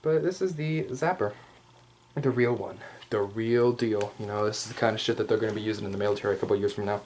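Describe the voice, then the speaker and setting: A man's voice: on the low side, fast talker, darkish tone, slight background noise. A man doing an improvised, casual ASMR roleplay show-and-tell, rambling with jokey asides and swearing. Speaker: ASMR roleplay host showing props.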